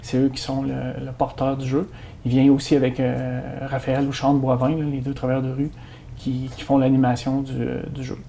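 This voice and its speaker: Gravelly-voiced Québécois man, hesitant. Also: mid-range voice, moderate pace, slight background noise. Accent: Québécois French. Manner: many hesitations, animated when announcing the event. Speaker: guest from a community organization. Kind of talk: casual interview chat.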